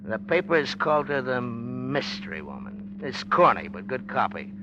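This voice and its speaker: A man's voice: medium pitch, big pitch swings, moderate pace, close to an everyday voice. A man playing a cocky, needling, tough-talking newspaperman in a 1940s radio drama, slangy and smug. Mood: insinuating. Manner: slangy, smug, hard-boiled. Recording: dull noisy old radio recording.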